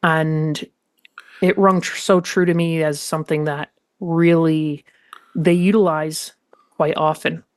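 A woman's voice: deep voice, steady tone, slow pace. A woman co-hosting a podcast, moving between scripted reading and personal discussion. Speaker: podcast host.

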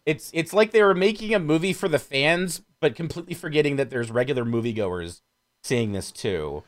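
A man chatting casually as a podcast panelist, critiquing films in an animated, sarcastic way. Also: a little high, brisk. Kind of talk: casual film criticism chat.

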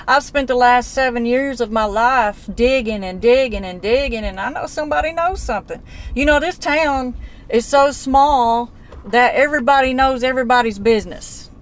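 A woman, questioning a man on a phone call in a blunt, folksy, persistent way. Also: sits high. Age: 40s. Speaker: relative investigating a disappearance. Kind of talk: casual phone conversation.

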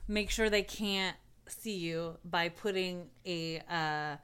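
Smooth, bright-voiced woman joking crudely. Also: fairly low pitch, slow pace. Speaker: podcast co-host. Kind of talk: casual chat.

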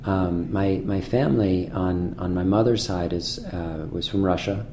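Deep, mellow-voiced man reflecting slowly. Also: steady tone, roomy sound, a little hiss or hum. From Massachusetts, USA. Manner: thoughtful, many pauses and restarts. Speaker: chef, interview guest. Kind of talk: casual conversational interview. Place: restaurant.

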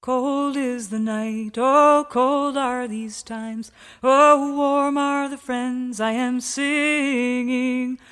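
A woman's voice: sits high, steady tone, very slow, fairly bright tone, clear, resonant tone, faint music behind. A woman singing a folk song in the manner of a lullaby. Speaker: singer.

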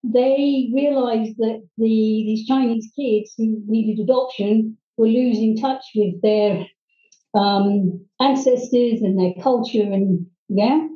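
Distant middle-aged woman, halting. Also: on the high side, steady tone. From Hong Kong. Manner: earnest, halting with many ums and restarts. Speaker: guest, private English teacher. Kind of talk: personal storytelling in interview. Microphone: video call. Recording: distant, roomy, dull video-call audio.

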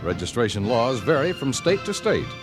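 An American man announces in the manner of 1950s network radio. His mid-pitched voice swings dramatically through scripted copy, on a vintage broadcast with prominent music. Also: very animated, normal speed.

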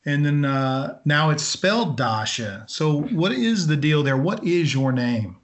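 A man hosting a podcast, asking his guest questions in a friendly, halting way. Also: on the high side, lively intonation. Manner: friendly, halting, many ums and restarts. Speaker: podcast host. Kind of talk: casual interview.

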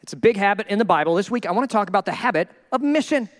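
A male pastor preaching a sermon in an animated, joking way, acting out the people he quotes. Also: sits high, very fast, long reverb tail. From Minnesota.

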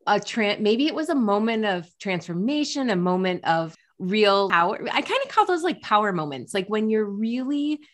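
A woman's voice: medium pitch, very animated, normal speed, hardly any pauses. A woman hosting a podcast interview, talking in a reflective, encouraging way with lots of "like" fillers. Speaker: podcast host. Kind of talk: casual interview chat.

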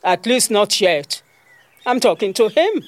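A vexed tree spirit, played by a man in an audio drama. The voice is high, confrontational and full of accusation. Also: sing-song, measured pace, no echo. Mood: vexed, accusing.

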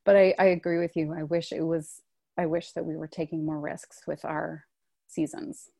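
A woman's voice: sits low, flat delivery, normal speed, vocal fry, dark tone, no room sound, clean studio sound. A woman in her thirties, a stage actor, talking through her career in a casual interview, thoughtful and full of "like" and "um.". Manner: thoughtful, frequent "like" and "um" fillers.

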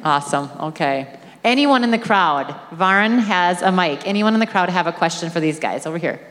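A woman's voice: on the low side, lively intonation, a little fast, a bit bright, slight background noise. Bubbly Minnesotan woman moderating a panel. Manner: bubbly, laughs often. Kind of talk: playful rapid-fire questions. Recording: echoey hall with crowd and music.

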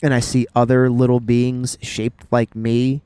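A young man chatting on a podcast in a playful, animated way. His pitch is middling, and the sound is dry with no room echo. He stops and restarts with "um" before getting to his point. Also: measured pace, clear, resonant tone. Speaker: podcast co-host. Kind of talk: casual chat.

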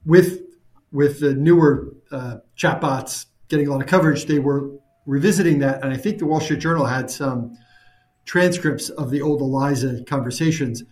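A man co-hosting an interview, chatting in a lively, playful way and quick to laugh. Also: mid-range voice. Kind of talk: casual interview chat. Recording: roomy echo.

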